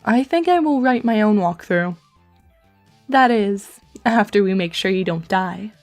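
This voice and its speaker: A woman's voice: mid-range voice, expressive, unhurried, a little mellow, soft background music. A woman playing a dry, composed, verbose teenage girl with wry, articulate delivery in a fan webcomic dub. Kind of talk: fan comic dub acting.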